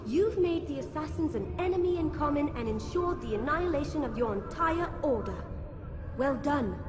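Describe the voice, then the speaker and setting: A scornful woman in a game cutscene, high-voiced and defiant. She taunts deliberately in a long, echoing reverb, with music behind her. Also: expressive. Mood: bitter, mocking. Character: defiant, scornful woman decoy of an enemy commander. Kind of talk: dramatic video game cutscene acting.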